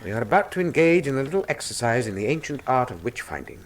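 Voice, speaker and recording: Radio drama male sleuth, acted by a man: keen, precise, lecturing, lively melodic delivery. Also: medium pitch, fast, a little hiss or hum, lightly acted. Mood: grave, intent. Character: keen, commanding Victorian male detective, precise and erudite.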